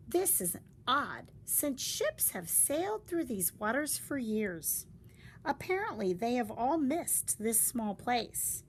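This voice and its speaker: Female storybook reader, slightly high voice, very varied intonation, dramatic and deliberate delivery. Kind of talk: expressive read-aloud of a story. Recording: faint background noise.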